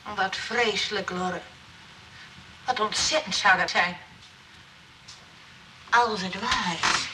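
An actress playing a bossy, easily hurt, resolute nurse in a radio play, speaking briskly and firmly. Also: female voice, medium pitch, recorded from a distance, noisy background, lightly acted. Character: bossy, hurt, resolute nurse who runs a rest home.

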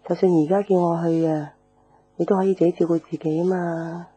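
An ailing, resigned middle-aged Hong Kong mother in a radio drama. Her Cantonese is gentle and weary, in a very dark, soft-toned voice on the lower side. Faint music sits behind her. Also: level tone, moderate pace, breathy edge, no echo, rough recording.

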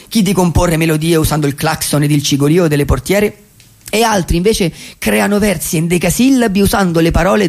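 Radio play: male actor as a dreamy, wry drifter, fairly high-pitched and husky, telling poetic tales with pensive pauses. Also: a little mellow, heavily acted. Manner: wistful, musing, rhetorical questions, deliberate pauses. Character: wry, dreamy male vagabond storyteller, society's gentle outcast. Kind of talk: theatrical radio monologue, poetic storytelling.

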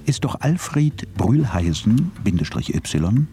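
A man's voice: lowish voice, big pitch swings, takes it slowly, warm tone, faint music behind, exaggerated voice. A man narrating a radio drama with ornate, slyly ironic phrasing. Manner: ornate, ironic listing delivery.

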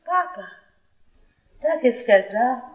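An actress playing a brazen, spiteful middle-aged wife in a radio play, with drawling, theatrical mockery. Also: female voice, a little high, lively intonation, slow pace, slight background noise. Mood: gleeful mockery. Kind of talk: stage-style radio play acting. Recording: echoey old radio play, music behind.

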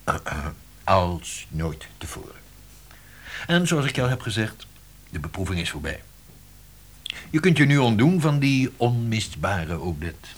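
A persuasive publishing boss in a radio play. His low voice is husky and swings widely as he coaxes and teases. He leaves theatrical, pointed pauses. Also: very animated. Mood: pleased, pointed. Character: persuasive male publishing house boss, teasing and shrewd. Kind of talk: Dutch radio drama acting.

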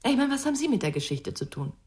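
A woman's voice: a little high, big pitch swings, quick pace. An actress playing a curious, candid girlfriend of an investigator in a radio crime drama, conversational and questioning. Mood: curious, probing.

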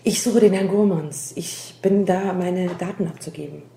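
A woman's voice: on the low side, normal speed, gravelly. A woman playing an assertive, wry test participant in a naturalistic radio drama. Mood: matter-of-fact. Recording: roomy sound, faint noise.

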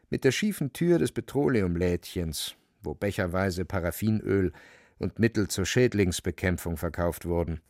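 A man narrating a novel as dramatic literary storytelling, expressive and vivid. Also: fairly low pitch, no echo, studio quality.

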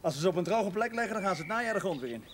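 An actor playing a blunt, practical gardening helper in a television period drama, talking in a protesting way. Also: male voice, high-pitched, expressive, racing. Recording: loud noise over TV drama soundtrack.

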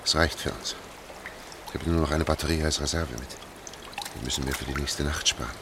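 An actor in a German radio play playing a sober, methodical older man, speaking in a hushed, deliberate way. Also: very deep voice, steady tone. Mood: tense, controlled. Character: sober, methodical older man guiding a girl through sewers. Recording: noisy track with trickling water. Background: running, dripping water.

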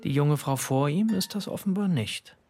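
An actor playing the observant, measured narrator of a literary radio drama. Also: male voice, fairly high pitch, over music, close to an everyday voice.

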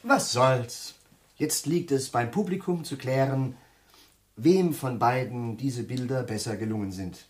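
An actor in a German radio play playing a courteous, pedantic intellectual who needles in a dry, hesitant way. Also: male voice, medium pitch, measured pace, natural voice. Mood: politely needling. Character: polite, pedantic male intellectual, mildly needling.

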